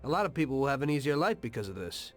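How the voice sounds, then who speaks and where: An actor playing a weary, conflicted mobster with a conscience in a video game drama, brooding and blunt. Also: male voice, mid-range voice, big pitch swings, very fast, fairly bright tone, soft background music. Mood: resigned, defensive.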